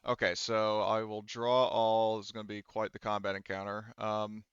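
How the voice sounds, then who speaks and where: Male game master, low and clean voice, explaining rulings casually, slightly muffled dry recording. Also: little pitch movement, normal speed, clear, resonant tone, no room sound. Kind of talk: running a tabletop roleplaying game, casual chat.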